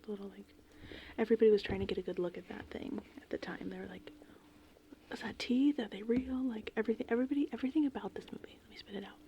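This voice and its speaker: A woman doing casual, meandering ASMR in a breathy, whispered and softly spoken voice. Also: medium pitch, fast talker, muffled recording, slight background noise. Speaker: ASMR host. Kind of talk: ASMR rambling movie summary. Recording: steady rain and thunder ambience behind. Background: gentle rain and thunderstorm sounds.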